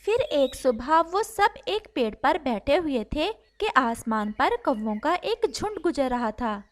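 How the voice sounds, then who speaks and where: A woman's voice: high voice, sing-song, unhurried. A woman narrating a scripted children's cartoon story as the storyteller.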